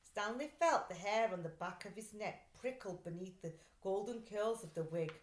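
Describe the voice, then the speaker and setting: A woman reading a story aloud for children in an expressive, deliberate, dramatic way. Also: mid-range voice, a little hiss or hum. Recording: roomy echo.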